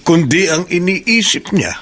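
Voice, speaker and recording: An actor playing a concerned, reassuring older mentor in a Filipino radio drama, speaking in a measured, counseling way. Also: male voice, sits high, very animated, fairly bright tone, exaggerated voice. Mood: concerned, consoling.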